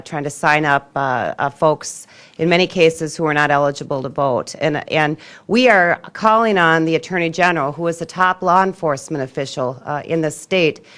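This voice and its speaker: A female politician with a notably deep voice gives press conference remarks. She speaks off the cuff, feeling her way through with hesitation. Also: a bit bright.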